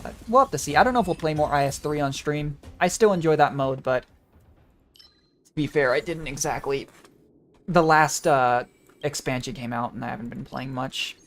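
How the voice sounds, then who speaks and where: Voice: medium pitch, normal speed. A game streamer giving casual gameplay commentary, rambling and thinking aloud. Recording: game music behind the voice. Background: video game music.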